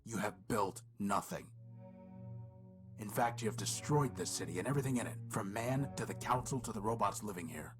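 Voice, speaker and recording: An actor playing a weary, defiant, principled male robot in a video game, speaking in a grave, measured way. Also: fairly low pitch, animated, lightly acted. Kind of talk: dramatic video game voice acting. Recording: loud music under the voice.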